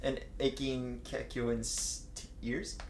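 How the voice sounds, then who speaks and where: A man's voice: medium pitch, little pitch movement, takes it slowly, creaky. A man casually reading fan fiction aloud in a crude, jokey way, often breaking into banter. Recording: roomy echo, faint background noise.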